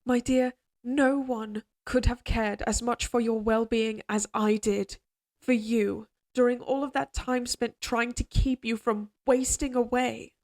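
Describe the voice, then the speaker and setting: A woman playing a regal, possessive queen in an audio roleplay drama, speaking in formal, measured courtly phrases, tender yet controlling. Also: on the high side, fairly even intonation, a little mellow. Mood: tender, reassuring.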